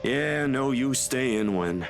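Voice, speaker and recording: An actor playing an easygoing, loyal young photographer in a video game, with a folksy, informal manner. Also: male voice, mid-range voice, lively intonation, slow pace, vocal fry. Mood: easygoing, teasing. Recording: background music.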